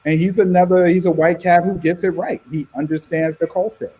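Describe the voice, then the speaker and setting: A comics creator on a panel who talks in a relaxed way, laughs often and keeps checking in with "right?". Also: male voice, high voice, brisk, husky, low-quality recording. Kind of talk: casual panel discussion.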